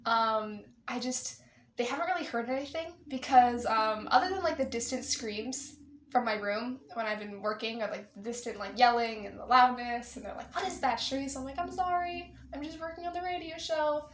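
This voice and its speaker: A teenage girl chats excitedly in an interview. Her voice is fairly high. The room is echoey, with a faint layer of noise. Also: moderate pace. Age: teens. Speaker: student performer. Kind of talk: casual interview answer. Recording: roomy echo, faint noise.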